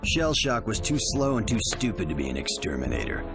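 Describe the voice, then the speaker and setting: An actor playing a proud, bitter champion gladiator villain in a game, curt and scornful. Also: male voice, on the low side, big pitch swings, fairly quick. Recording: loud music behind the voice.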